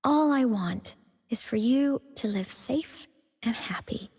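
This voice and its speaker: A woman voicing a young student turned digital ghost in a video game, cheerful yet wistful and devoted, with a soft, earnest delivery. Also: on the high side, slow pace, slightly rough, full character voice. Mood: wistful, tender. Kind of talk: scripted video game character monologue. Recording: long reverb on the voice.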